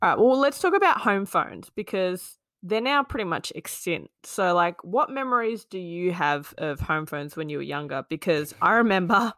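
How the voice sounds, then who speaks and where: A woman, co-hosting a casual chat in an animated way, swearing and laughing freely. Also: fairly low pitch, moderate pace, few pauses, dry recording, clean studio sound. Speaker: podcast co-host.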